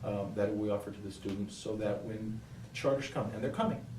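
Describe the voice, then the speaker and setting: A man applying for a school board seat answers at a public forum, pausing and repeating phrases for emphasis. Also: lowish voice. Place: indoor public forum room. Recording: roomy echo, distant mic, faint noise.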